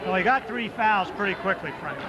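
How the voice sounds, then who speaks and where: A man calling live high school basketball play-by-play in quick, clipped calls, rooting for the home team, with a loud voice. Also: expressive. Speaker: play-by-play announcer. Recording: muffled 1990s local broadcast tape, loud gym crowd. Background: crowd noise, ball bounces.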